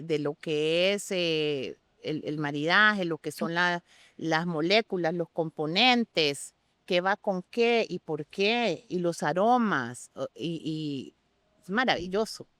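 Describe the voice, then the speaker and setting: An expressive woman speaks as an interview guest. Her voice is smooth and clean, recorded close and dry. She explains things with open enthusiasm, in a conversational way. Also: lowish voice, takes it slowly, no echo. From Costa Rica. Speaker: guest sommelier.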